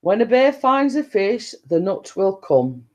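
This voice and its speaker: Woman rambling on a live stream, deep tone, slow and chatty, keeps saying the same phrases. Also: sing-song, a little mellow. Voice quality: deep-toned voice. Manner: chatty, meandering, repeats stock phrases and laughs often. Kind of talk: rambling casual chat.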